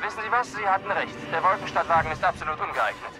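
An actor playing a sceptical pilot sidekick in a video game, wry and bantering. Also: male voice, fairly high pitch, lively intonation, fast, close to an everyday voice. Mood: sceptical, excited. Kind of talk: dramatic video game acting. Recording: loud music behind.

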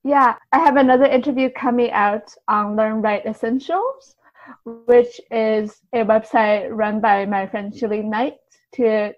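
A woman's voice: fairly high pitch, no echo, muffled recording. A woman answering casually as a podcast guest, in a tentative, hedging way. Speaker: guest, translator and poet.

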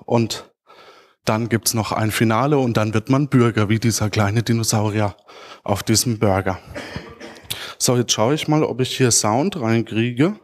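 A man's voice: lowish voice, a little slow. A man presenting informally as a conference speaker, somewhat hesitant and searching for words as he goes. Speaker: conference speaker, podcast creator. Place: large auditorium stage.

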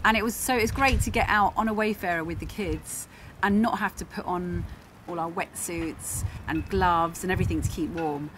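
A woman's voice: fairly low pitch, normal speed. A woman in her forties narrating a casual sailing travel vlog, talking to camera. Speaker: travel vlogger, sailor. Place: outdoors on a harbour quayside. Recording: noisy outdoor waterside ambience.

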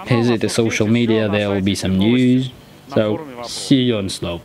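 A man in his thirties with a Russian accent, giving blunt opinions in halting English while talking to an action camera on a ski slope. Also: fairly low pitch, fairly even intonation, a crowd in the background. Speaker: ski tester. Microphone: helmet or selfie action camera close-up.

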